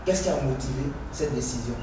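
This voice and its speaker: A man's voice: medium pitch, fairly quick. A man hosting an interview, putting formal, prepared questions to his guest. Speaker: interviewer, host. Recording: echoey, distant mic, faint noise behind.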